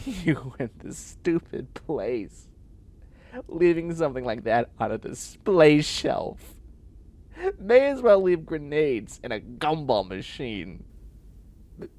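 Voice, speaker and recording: A man's voice: a little high, darkish tone, heavily acted. A man playing a flustered, sardonic young man turning into a catboy in a comedic sci-fi audio roleplay, stammering with exasperation and sarcasm. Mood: exasperated. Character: flustered, sardonic young man sprouting cat ears and tail.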